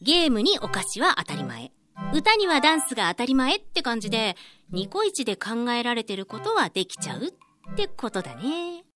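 Woman acting exasperated young woman, high pitch, lively intonation, dry teasing retorts, anime-style radio drama. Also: a little fast, soft background music, heavily acted. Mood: dry, patient.

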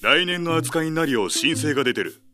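A man's voice: a little high, expressive, a little fast, natural voice. A man playing a stern, rule-bound tiger officer in an audio drama, curt and businesslike. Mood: firm, unyielding. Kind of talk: Japanese audio drama acting. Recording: music bed behind the voice.

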